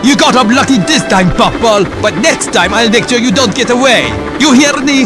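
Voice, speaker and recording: A performer voicing a furious, bullying diesel engine in a cartoon comic dub, shouting threats. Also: medium pitch, expressive, normal speed, lightly acted. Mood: furious, threatening. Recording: loud music under the voice. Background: music.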